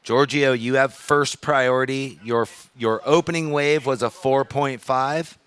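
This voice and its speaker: Male surf webcast commentator and announcer, mid-pitched voice, live contest delivery. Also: steady tone, measured pace, fairly bright tone, clear, resonant tone.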